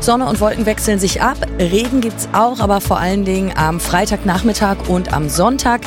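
A woman radio host with a cold, hoarse, chatting casually to listeners in the afternoon show. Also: medium pitch, moderate pace. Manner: chatty, direct address to listeners. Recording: music bed behind voice.

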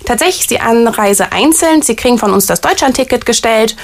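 A woman's voice: on the high side, animated, a little fast. A woman answers interview questions about her job. She is chatty and eager, and her speech is loose and full of fillers. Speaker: artist liaison at a variety theater.

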